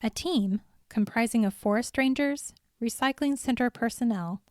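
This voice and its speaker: A woman's voice: a little high, moderate pace, darkish tone, dry recording. Woman narrating a story, dry sound. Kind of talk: scripted audiobook narration.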